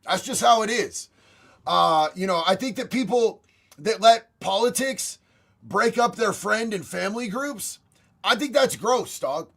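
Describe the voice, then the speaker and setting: Man, young adult, raspy voice, high-pitched, blunt and profane, slangy livestream host reading chat. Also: animated, a little slow, a bit bright. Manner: blunt, profane, slangy, addresses viewers as bro and homie.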